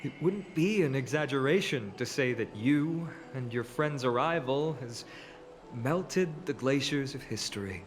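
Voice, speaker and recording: A man playing a calm, reflective young scholar in a video game, speaking in a measured, articulate way. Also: medium pitch, slight background noise, close to an everyday voice. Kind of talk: English video game voice acting.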